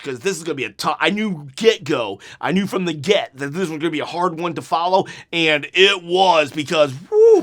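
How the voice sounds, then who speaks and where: A man hosting a wrestling channel, giving an unedited review right after a show, excitable and rambling, his voice going hoarse. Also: high-pitched. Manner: excitable, rambling, repeats himself.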